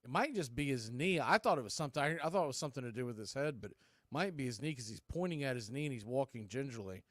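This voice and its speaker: A man's voice: mid-range voice, expressive, quick pace, no echo, studio quality. A man announcing baseball in a play-by-play commentary style.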